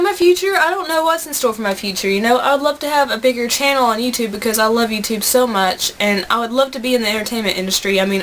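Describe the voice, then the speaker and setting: A woman in her twenties with an American accent speaks in a somewhat high voice. She chats casually to her viewers as a video blogger, earnest and a bit rambling. There is some noise in the homemade recording. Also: fairly bright tone. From United States. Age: 20s. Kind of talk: casual chat to camera.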